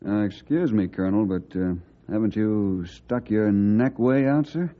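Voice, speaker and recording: An actor playing a young, respectful ranger hero in an earnest, measured old-time radio style. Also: male voice, fairly low pitch, noisy background, lightly acted. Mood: concerned. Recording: dull old radio recording.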